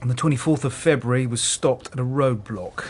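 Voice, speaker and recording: An actor playing a matter-of-fact, slightly hesitant police detective in a naturalistic radio drama. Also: male voice, mid-range voice, brisk, breathy edge, close to an everyday voice.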